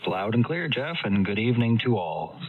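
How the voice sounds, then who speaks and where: A man from Canada chatting over amateur radio in measured, halting, slightly formal phrases. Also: lowish voice, very animated. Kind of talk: casual radio chat. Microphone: amateur radio transmission. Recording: narrow phone-like radio signal, faint static.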